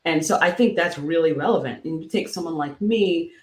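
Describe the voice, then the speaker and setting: Reflective podcast host in an echoey room. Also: female voice, low-pitched, fairly quick, darkish tone. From moved to Boston. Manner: thoughtful, lists practical questions. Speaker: podcast co-host, real estate agent. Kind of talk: casual podcast conversation.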